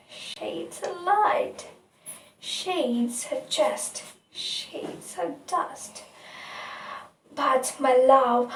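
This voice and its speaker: A woman reciting her own poem in a dramatic, deliberate way, with pauses between phrases. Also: sits high, slight background noise. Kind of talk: poetry recitation. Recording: echoey room, distant mic.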